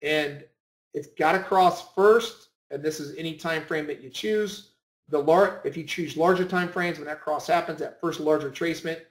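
A man giving an informal screen-share lesson as an instructor, often repeating and rephrasing his points. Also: a little high. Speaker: trading room instructor. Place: indoor room, voice over screen share. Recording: roomy, echoing voice recording.

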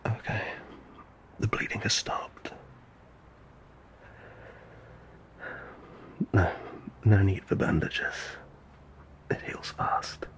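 A man playing a gentle, courteous old vampire in an intimate audio roleplay, speaking formally in hushed, soothing, measured tones. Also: sits low, fairly even intonation, whispered. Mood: hushed, concerned. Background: ambient sound effects.

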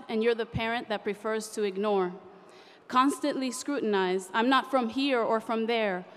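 Young woman, high voice, impassioned rhyming spoken word poet at a podium mic, big echoing convention hall. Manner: rhythmic, impassioned, rhyming delivery. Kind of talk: performed spoken word poetry. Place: large convention hall stage. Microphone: podium microphone.

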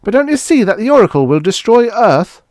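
A man's voice: medium pitch, very animated, moderate pace, no echo, studio quality. A man playing an anxious space explorer in a science fiction audiobook, earnest and insistent as he pleads with urgency. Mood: desperate, alarmed.

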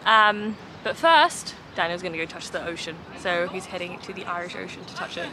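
A woman's voice: lowish voice, sing-song, fairly quick, over a noisy crowd. A young woman vlogging her trip outdoors, holding the camera at arm's length, chatty and self-mocking with many ums. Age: young adult. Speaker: travel vlogger. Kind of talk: casual travel vlog. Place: outdoors by the coast and in town. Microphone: handheld camera at arm's length.

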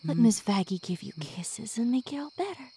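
A woman playing a sadistic, obsessive demon woman in an ASMR roleplay, gloating and possessive, with menacing breathy whispers that can break into shouts. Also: fairly high pitch, animated, a little fast, a little hiss or hum. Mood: sadistic cooing.

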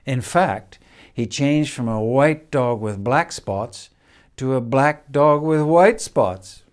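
An older man reads a children's picture book expressively, with measured phrasing and dramatic pauses. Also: medium pitch, clear, resonant tone. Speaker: storyteller reading a picture book. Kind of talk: expressive children's storybook reading. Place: indoors, room in a house with stone wall.

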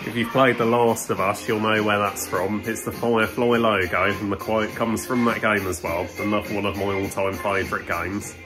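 A British man in his twenties chatting casually to a phone held at arm's length, speaking in a hesitant, halting way. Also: on the low side, flat delivery, over music. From Britain. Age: 20s. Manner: hesitant, halting, many pauses with ums. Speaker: vlogger. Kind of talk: casual vlog talk to camera. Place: on the move: street, shopping centre, station, small studio.

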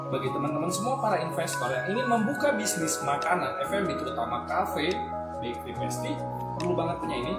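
A young man giving a casual, animated product demo in a small kitchen showroom, filmed on a phone camera a few steps away. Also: high voice. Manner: chatty, animated, gestures while explaining. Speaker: product reviewer. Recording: echoey room with loud music.

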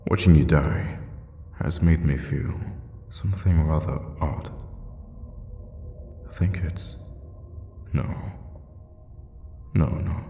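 Man playing a very deep, gravelly sadistic vampire. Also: heavily acted. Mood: musing, cold. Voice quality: very deep, gravelly, breathy half-whisper. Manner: slow, hushed, menacingly tender with pet names. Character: sadistic, teasing male vampire captor, centuries old. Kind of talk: ASMR audio roleplay, intimate monologue. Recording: long reverb, faint background noise.

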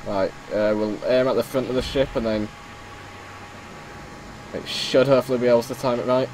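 A man commentating casually on his own gameplay, slightly hoarse as he is losing his voice, muttering reactions as he plays. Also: mid-range voice, steady tone, moderate pace, darkish tone, slight background noise, rough recording. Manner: chatty, mutters reactions while playing. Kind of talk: casual let's-play commentary.

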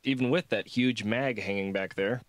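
A man's voice: on the low side, normal speed, no room sound, natural voice. A man voicing a doubtful, casual young male janitor in an audiobook. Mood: skeptical. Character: skeptical young male janitor, college dropout.

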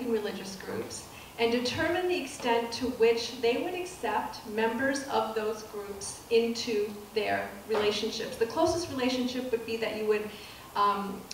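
A middle-aged woman giving a public lecture as a professor, speaking softly and with measured pacing, often pausing with ums and restarting phrases. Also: on the high side. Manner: soft-spoken, measured, with frequent ums and restarts. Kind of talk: lecture to an audience. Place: dark auditorium stage with projector screen. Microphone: handheld mic, recorded from a far camera. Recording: distant, echoey hall with room noise.

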